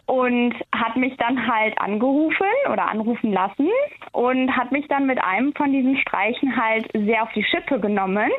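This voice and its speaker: Over a narrow, tinny telephone connection, a woman caller talks in a casual, recounting way. Her voice sits a little higher than average. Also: moderate pace. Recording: tinny narrow phone line.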